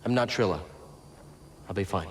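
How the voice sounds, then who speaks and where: A man's voice: fairly low pitch, moderate pace, slight background noise, close to an everyday voice. A man playing a determined, earnest young Jedi hero in a game cutscene, terse and stubborn in his delivery. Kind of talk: video game cutscene acting.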